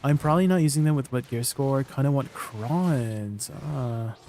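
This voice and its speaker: A man with a Canadian accent chatting casually with viewers while streaming a game. He rambles in a relaxed way and trails off at the ends of his lines. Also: medium pitch, normal speed, slight background noise.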